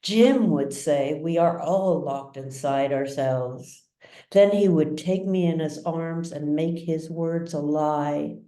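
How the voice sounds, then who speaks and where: A woman's voice: low-pitched, takes it slowly, dark tone. A woman voicing a bitter, anguished young man trapped inside himself, in a storybook reading with a dramatic, brooding manner. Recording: roomy echo, distant mic.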